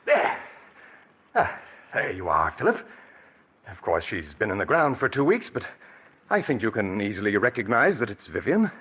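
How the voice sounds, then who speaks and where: A silky scheming poisoner. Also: male voice, fairly low pitch, huge pitch swings, normal speed, pauses often, fairly bright tone, a little hiss or hum. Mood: gloating. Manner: silky, menacing, hesitant fillers. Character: scheming, smooth-talking male poisoner, middle-aged. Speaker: villain, Roger. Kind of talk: 1940s radio drama acting. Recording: old 1940s radio broadcast recording.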